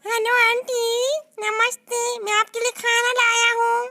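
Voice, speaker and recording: A woman's voice: very high-pitched, flat delivery, unhurried, sharp tone, voice pushed up, heavily acted. A woman voicing a polite, earnest little boy in a comic sketch. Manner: pleading, earnest.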